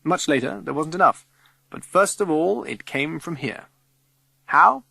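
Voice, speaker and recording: Male schoolteacher, acted by a man: excitable, emphatic, higher-pitched, lecturing a class; children's audiobook. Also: unhurried, gravelly, dry recording, full character voice. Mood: enthusiastic teaching. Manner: emphatic, declaiming, instructive.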